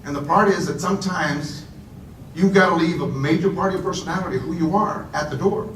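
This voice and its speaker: A man's voice: brisk, a bit bright. A man who grew up in Puerto Rico gives a conversational conference talk to a live audience, often repeating words and making chatty asides. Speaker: speaker. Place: conference room. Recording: roomy echo, voice from far off, faint rumble.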